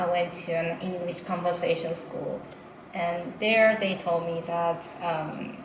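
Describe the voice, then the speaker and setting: A woman, her voice a little lower than most. She talks in a casual, hesitant way, full of fillers and small laughs. The room is echoey, with steady noise behind her. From Japan. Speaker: interpreter guest. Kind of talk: casual interview.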